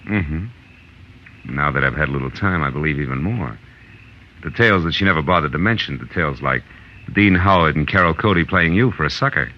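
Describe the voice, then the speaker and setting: An actor playing a tough, wry American private detective in a 1940s radio drama, clipped and sardonic. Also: male voice, extremely low pitch, lively intonation, a little fast, a little hiss or hum. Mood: sardonic, probing. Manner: hard-boiled, sardonic, clipped. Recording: dull old radio recording with music.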